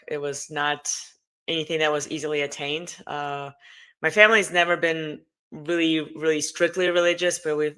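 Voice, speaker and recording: A middle-aged woman from Russia with a Russian accent, talking as a guest in a casual interview, pausing often with fillers. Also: deep voice, a bit bright, clean tone. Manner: hesitant, many fillers.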